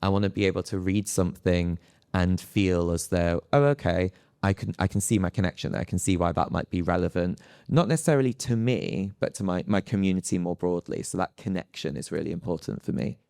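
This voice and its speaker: A man's voice: sits low, brisk, creaky, darkish tone, clear, resonant tone, no room sound, clean studio sound. A man speaking as an academic panelist in a live discussion, phrasing his thoughts in a hesitant, reflective way. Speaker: panelist, psychology lecturer.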